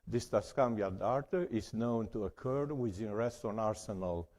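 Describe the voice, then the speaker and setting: A man's voice: on the low side, moderate pace, darkish tone. A man from Romania with a Romanian accent, giving a conference presentation in careful, slightly broken English. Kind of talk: academic conference talk.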